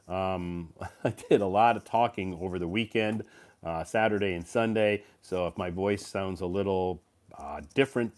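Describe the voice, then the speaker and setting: Male live stream host, low voice, rambling and filler-heavy, slightly worn from a recent cold. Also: darkish tone. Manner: rambling, many fillers and restarts. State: recovering from a cold. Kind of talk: casual live stream chat.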